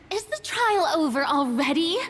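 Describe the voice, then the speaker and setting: Snooty dramatic young queen type, super high swoopy voice, game trailer, loud music behind. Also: sing-song, slow pace, big-room sound, heavily acted. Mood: haughty, bored. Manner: pompous, dramatic. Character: theatrical, haughty young female ruler who relishes drama. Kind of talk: scripted game character dialogue.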